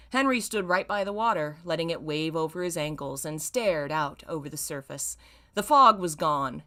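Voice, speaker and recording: Very animated, lower-voiced woman reading aloud. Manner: theatrical, expressive. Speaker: host reading fan fiction aloud. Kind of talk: dramatic story reading with amused asides.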